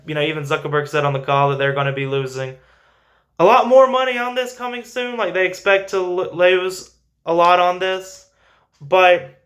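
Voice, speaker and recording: A man's voice: sits high, pushed and bright. A man hosting a finance show, giving informal, emphatic stock commentary and repeating phrases for stress.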